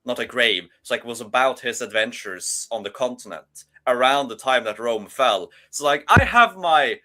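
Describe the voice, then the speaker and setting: A man's voice: mid-range voice, lively intonation, moderate pace, bright, forceful tone. A man from Norway with a Norwegian accent, rambling through a casual panel chat with many fillers and restarts.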